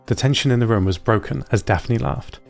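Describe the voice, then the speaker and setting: A man voices an observant robot storyteller in scripted audio fiction. The delivery is precise, literal and earnest at a middle male pitch, with music underneath. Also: fairly quick, creaky, lightly acted. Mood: measured, observant. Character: robot narrator recounting human behaviour, observant and earnest.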